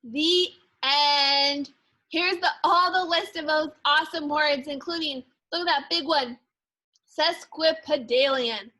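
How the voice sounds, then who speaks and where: A woman who teaches second grade, reading a picture book aloud with expressive, deliberate storytelling and dramatic emphasis. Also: sits high.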